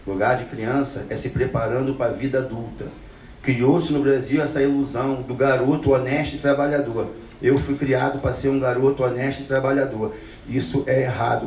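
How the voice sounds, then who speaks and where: A man's voice: mid-range voice, a little mellow. A man speaks with blunt conviction and drives his points home by repeating them. He is picked up from some distance in a reverberant room. A faint hiss of noise sits under his voice. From Brazil. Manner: passionate, blunt, repeats phrases for emphasis. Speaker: speaker telling his life story. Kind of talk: earnest talk to an audience.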